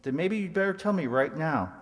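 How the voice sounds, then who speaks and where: A calculating, smooth professor played by a man speaks formally and evasively in a radio mystery. His voice moves widely in pitch and rings in a large hall. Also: animated, a little fast, lightly acted. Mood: wary, smooth. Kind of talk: radio mystery drama acting. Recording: reverberant hall stage recording.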